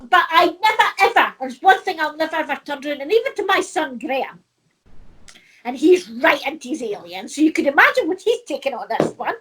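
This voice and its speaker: Woman, middle-aged, high and lively voice, chatty with frequent laughs. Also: brisk, slight background noise, low-quality recording. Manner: chatty, laughs often, repeats herself. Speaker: co-host. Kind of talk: casual chat.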